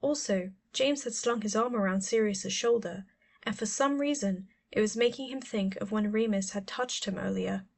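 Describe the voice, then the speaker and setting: A woman's voice: mid-range voice, normal speed, gravelly. Female audiobook narrator for scripted fiction, mid-range voice.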